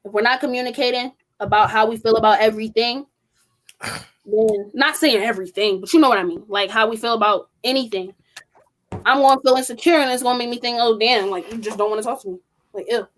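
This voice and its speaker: A woman's voice: on the high side, quick pace, fairly bright tone. A young woman co-hosting a podcast, talking bluntly and with emphasis in a casual group discussion. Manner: blunt, emphatic, strong-willed. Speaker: podcast co-host.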